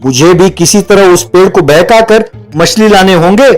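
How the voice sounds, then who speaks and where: A man's voice: sits high, normal speed, soft background music, close to an everyday voice. A man voicing a greedy, envious elder brother in a cartoon tale, sly and scheming in manner. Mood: scheming, gloating. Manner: scheming, wheedling. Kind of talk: cartoon storybook dialogue.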